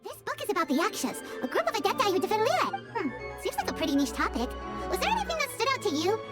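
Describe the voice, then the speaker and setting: A tiny childlike fairy companion from a video game. The voice is squeaky and sky-high, swooping with perky excitement and rattling along quickly over loud music. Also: female voice, exaggerated voice. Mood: curious, explaining. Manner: perky, speaks of self in third person. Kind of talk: video game character acting.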